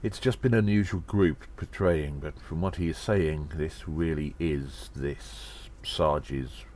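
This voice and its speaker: A man acts out a gnome secret agent with a strikingly low voice, telling his audio drama tale in a theatrical, self-important way. He is cheeky and deliberate, over noticeable background noise. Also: darkish tone. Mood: grave, concerned.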